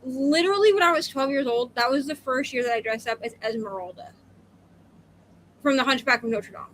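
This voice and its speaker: A woman's voice: high-pitched, brisk, fairly bright tone, a little hiss or hum, rough recording. A woman talking casually as a book discussion co-host, conversational and full of asides and self-corrections. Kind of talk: casual chat, personal anecdotes.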